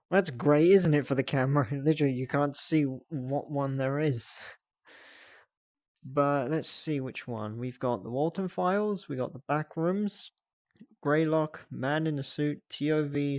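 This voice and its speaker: A casual livestream host who talks at an unhurried pace and thinks aloud. The delivery is chatty and prone to giggles, with a mid-pitched voice. It is captured close and dry, with very little room sound. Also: a little mellow, dry recording. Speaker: livestreamer hosting a reaction stream.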